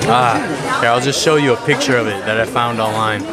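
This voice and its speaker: A man's voice: medium pitch, brisk, creaky. A young man hosting a casual vlog, chatty and joking, filming himself at arm's length in a busy themed restaurant. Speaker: vlog host. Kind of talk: casual vlog talk to camera. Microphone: handheld camera at arm's length. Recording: loud music and crowd noise. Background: loud music and crowd chatter.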